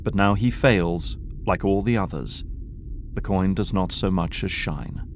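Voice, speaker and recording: A man's voice: sits low, unhurried, a little hiss or hum. A man reading scripted narration for an audio drama.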